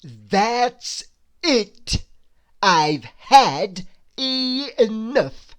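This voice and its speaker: A woman voicing a rude, impatient male storybook creature who roars in a loud, drawn-out, emphatic way. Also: a little high, sing-song, pitched above the performer's own voice, full character voice. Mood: exasperated, furious. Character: rude, impatient, bad-tempered male cartoon creature in a top hat.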